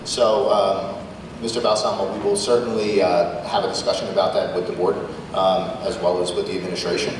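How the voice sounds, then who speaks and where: Fast, formal man chairing a board meeting. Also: deep voice, level tone. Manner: formal and procedural, with some restarts when answering. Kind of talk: reading meeting rules, then answering questions. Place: large school auditorium. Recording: echoey auditorium sound from far off, noisy.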